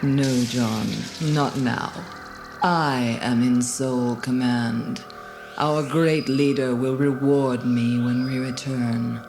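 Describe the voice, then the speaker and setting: A woman's voice: rumbling low, fairly even intonation, a little mellow, exaggerated voice. A woman playing a cruel, arrogant alien commander in a dramatised storybook, imperious and scornful. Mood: gloating. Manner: imperious, gloating, deliberate. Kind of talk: dramatised storybook audio acting. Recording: music behind the voice.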